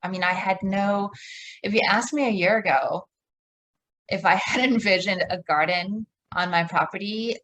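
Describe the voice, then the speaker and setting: A woman from Malaysia, a podcast guest, telling her story slowly and thoughtfully with pauses and fillers. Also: medium pitch, fairly bright tone, rough recording. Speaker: guest, co-creator of a womb garden. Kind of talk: podcast interview, reflective storytelling.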